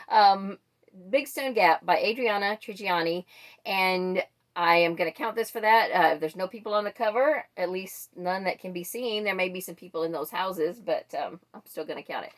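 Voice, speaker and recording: A woman book vlogger chatting casually and thinking aloud as she goes. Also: medium pitch. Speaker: book vlogger presenting a monthly reading wrap-up. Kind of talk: casual chat to camera.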